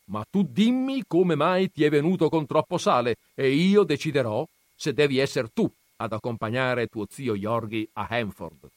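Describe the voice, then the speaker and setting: A man reading aloud, voicing a stern old grandfather who questions his grandsons in a loud, commanding way. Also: mid-range voice, animated, normal speed, few pauses, no room sound, voice pushed up, close to an everyday voice.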